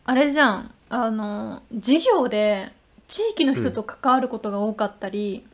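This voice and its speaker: A young adult woman co-hosting a podcast, telling stories in a chatty, animated way in casual conversation. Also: a little high, normal speed. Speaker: podcast co-host. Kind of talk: casual chat.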